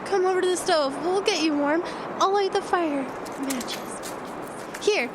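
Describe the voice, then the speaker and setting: A woman's voice: very high voice, measured pace. An actress playing a determined, devoted but frightened woman in a blizzard, urgent and pleading, in a horror audio drama. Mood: anxious, soothing. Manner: urgent, pleading, repeating herself. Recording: noisy wind and effects bed.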